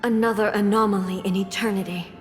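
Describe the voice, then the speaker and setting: A woman playing a stern, composed immortal ruler in a video game, speaking formally and with measured command. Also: mid-range voice, fairly even intonation, music in the background, natural voice. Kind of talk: English video game dub acting.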